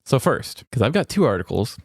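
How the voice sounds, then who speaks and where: A man's voice: mid-range voice, very animated, a little fast, creaky, husky, dry recording. Informal male podcast co-host, dry close sound. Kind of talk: casual chat and reading news articles aloud.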